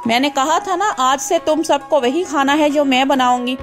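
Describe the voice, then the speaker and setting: A firm young daughter-in-law who keeps her family on a strict diet, played by a woman with a high voice. She scolds and lays down the rules, with faint music underneath. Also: moderate pace, natural voice. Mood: scolding. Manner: scolding, bossy. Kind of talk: cartoon voice acting.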